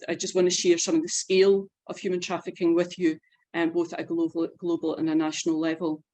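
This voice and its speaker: A woman leading an informational webinar, explaining facts and figures in an earnest, measured way. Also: fairly low pitch, a little mellow, low-quality recording. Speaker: presenter leading an online info session.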